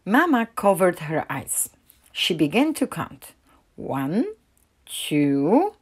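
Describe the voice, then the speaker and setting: Slow, animated woman reading a picture book. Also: medium pitch, husky. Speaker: read-aloud narrator.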